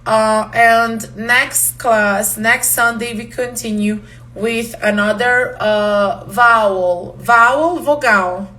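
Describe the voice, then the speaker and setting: A woman's voice: a little high, steady tone, extremely slow, pushed and bright. A woman from Romania, a native Hungarian speaker with a Hungarian accent, teaching a live online language class, switching between Portuguese and English and laughing often.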